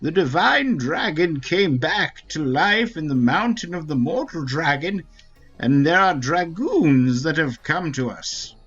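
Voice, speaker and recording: Slow, high-voiced ancient elder played by a man. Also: big pitch swings, fairly bright tone, husky, highs cut off, full character voice. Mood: grave. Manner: slow, grave, formal delivery. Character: ancient, solemn Wingly elder, wise leader of a forest people. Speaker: game streamer. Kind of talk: dramatic read-aloud of fantasy game dialogue. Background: faint game music.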